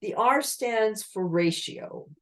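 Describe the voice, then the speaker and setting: A woman giving an online communication talk with slides, explaining in a lively manner and asking her listeners rhetorical questions over a video call. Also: mid-range voice, takes it slowly. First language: English.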